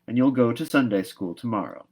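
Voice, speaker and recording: Stern older guardian woman in a storybook, played by a male reader: no-nonsense, brisk, scolding, firmly emphatic. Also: medium pitch, animated, a little mellow, husky. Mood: dismissive.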